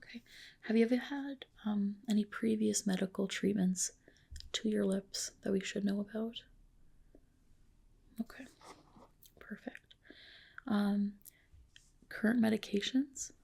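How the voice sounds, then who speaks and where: A woman speaking in a soft, breathy whisper, playing a gentle, reassuring clinician in a personal-attention ASMR roleplay. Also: medium pitch, unhurried, pauses often. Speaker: ASMR performer playing a medi-spa clinician.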